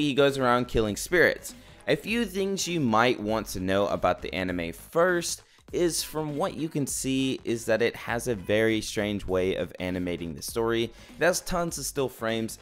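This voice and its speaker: A man reviewing anime in scripted commentary, his voice wearing out as he loses it. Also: on the low side. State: losing his voice. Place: new room at home with echo. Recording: faint music underneath.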